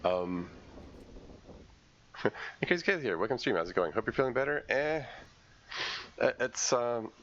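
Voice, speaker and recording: A man streaming a game, congested from a cold, commenting live and thinking aloud in a halting way as he works through puzzles. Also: mid-range voice, expressive, lo-fi sound, a little hiss or hum.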